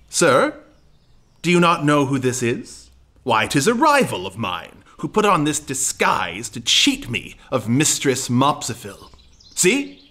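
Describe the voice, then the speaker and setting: A man's voice: mid-range voice, bright, forceful tone, heavily acted. A man playing a scheming, indignant servant with exaggerated archaic bluster in a period audio farce. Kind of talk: farcical period audio drama acting.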